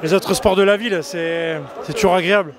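A man's voice: sits high, steady tone, a little fast, a crowd in the background. A man in his thirties chatting as a sports player in a casual interview. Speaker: rugby player. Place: outside a stadium. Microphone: handheld club microphone.

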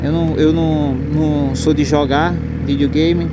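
A chatty man with a Recife accent rides a motorcycle and talks into a helmet mic, his voice sitting a touch high for a man. Loud wind and road traffic rush behind every word. He rambles in slangy street talk and swears casually. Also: little pitch movement, normal speed. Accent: Recife Pernambuco Portuguese. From Recife, Northeast Brazil. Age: adult, has a nephew. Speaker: motorcycle vlogger. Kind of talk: casual chat while riding. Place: on a motorcycle on suburban roads outdoors. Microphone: helmet headset mic. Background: loud wind and traffic noise.

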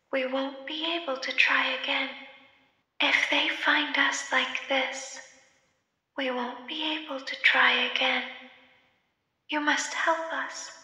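An actress playing a wounded, menacing animatronic machine in a horror game, pleading slowly and repetitively. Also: female voice, high voice, sharp tone, full character voice. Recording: echoing reverberant game audio replayed.

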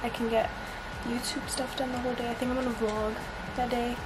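A bubbly young woman in her twenties, with a somewhat high voice, chats casually about her day to a camera held close. She rambles with lots of fillers. A steady background hiss sits under her voice in a small bedroom. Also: brisk. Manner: bubbly, chatty, many fillers. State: congested. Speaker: vlogger and college student. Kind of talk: casual vlog chat to camera. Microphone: camera at arm's length.

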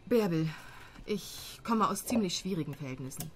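An actress plays a tough, no-nonsense woman in a radio play. Her voice is on the low side, blunt and emphatic, with clear crowd chatter in the background. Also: expressive, normal speed. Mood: matter-of-fact. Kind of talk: audio drama acting.

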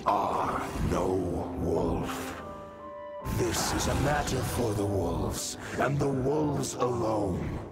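An actor voicing a stern, ancient wolf spirit in a video game, speaking in a solemn, formal, commanding way. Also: male voice, mid-range voice, expressive, extremely slow. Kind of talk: scripted video game dialogue. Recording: loud game music underneath. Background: loud music.

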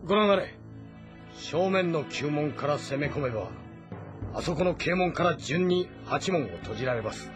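A man playing a shrewd, composed ancient Chinese military strategist in a game drama, speaking formally and in measured explanations. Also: fairly high pitch, expressive, over music, lightly acted. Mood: grave, explaining. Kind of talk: dramatic audio-novel acting in a video game.

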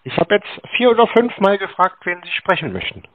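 A man's voice: high voice, big pitch swings, brisk, no room sound. A man talking on a phone call in a dry, sarcastic, needling manner. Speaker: scam baiter taking scam calls. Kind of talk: phone conversation, teasing and sceptical. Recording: thin, phone-like telephone line.

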